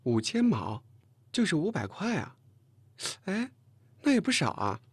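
一位男演播者在有声书里演一个爱捉弄人、又爱发号施令的年轻女同事，时而撒娇，时而数落。. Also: male voice, sits high, huge pitch swings, breathy edge, dry recording, voice pushed up, full character voice. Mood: surprised, curious. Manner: mocking, quick to pout and scold. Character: brash teasing young woman colleague, playful and bossy. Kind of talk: audiobook character dialogue.

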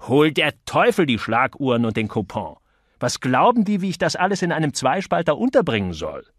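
A man's voice: a little high, sing-song, fairly quick, husky, dry recording, voice pushed up. Guy doing a grumpy cynical copywriter for an audiobook, bossy and curt, dry sound. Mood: exasperated. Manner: curt, emphatic, grumbling.

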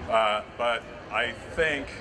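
An older man from Massachusetts giving a loud, chatty personal talk on an outdoor city stage into a handheld mic. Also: mid-range voice, slow, a crowd in the background. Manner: loud, chatty, self-deprecating. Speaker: festival speaker. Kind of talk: personal testimony speech. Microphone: handheld mic through PA. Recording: loud street noise outdoors.

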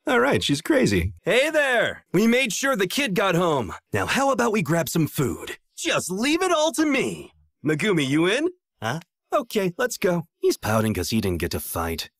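A man's voice: on the low side, fairly bright tone, slightly rough, dry recording, clean studio sound, heavily acted. A man voicing a cocky, playful young sorcerer teacher in an anime dub, with a breezy, teasing, theatrical manner. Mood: playful, upbeat. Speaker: voice actor in an English anime dub. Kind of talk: animated dub dialogue.

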